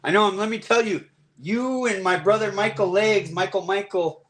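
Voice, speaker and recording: A man's voice: high-pitched, moderate pace, a bit bright. A man hosting a live custom paint demo in his shop, folksy and easygoing, thinking aloud while he works.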